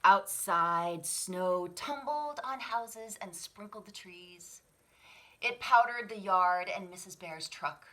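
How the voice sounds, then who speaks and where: A woman reading a picture book aloud to children, dramatically, moving between hushed whispers and sudden swells. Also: mid-range voice, slow pace. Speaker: children's library story reader.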